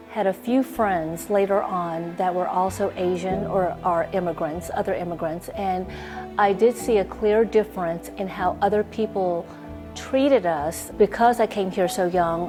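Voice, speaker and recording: An American-accented woman giving a reflective personal account as an interviewee. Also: on the low side. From United States, Taiwanese-born. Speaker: documentary interviewee. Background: music behind the voice.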